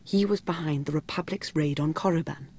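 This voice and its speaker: Low-voiced, commanding dark agent. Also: female voice, a little hiss or hum. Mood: secretive, grave. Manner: formal, measured. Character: composed, secretive female dark-order agent, commanding and grave. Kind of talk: video game acting.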